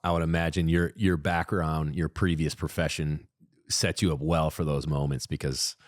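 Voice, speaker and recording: A man's voice: rumbling low, level tone, moderate pace, no echo, clean studio sound. A man co-hosting a podcast, chatting in a laid-back way full of fillers. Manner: laid-back, heavy on fillers like um and you know. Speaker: podcast co-host. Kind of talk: casual podcast chat. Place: podcast studio.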